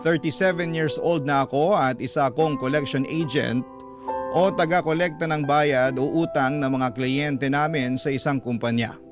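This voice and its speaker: A man's voice: fairly high pitch, normal speed. A man working as a radio storyteller, reading a listener's letter in scripted, dramatic narration. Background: loud background music.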